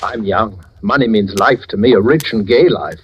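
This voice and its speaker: A man's voice: lowish voice, moderate pace, lightly acted. An actor playing a greedy, murderous young man who taunts with mock affection in an old radio drama. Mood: cold, resolute. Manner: silky, taunting, mock-tender. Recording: noisy old radio recording with clicks.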